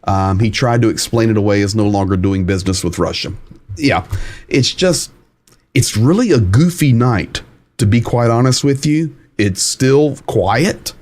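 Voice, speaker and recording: A man with a somewhat low voice hosts a live commentary stream. He talks in a loose, rambling, conversational way, as if guiding viewers across a map. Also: animated, a little slow, darkish tone. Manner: casual, rambling, talks while showing a map. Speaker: host.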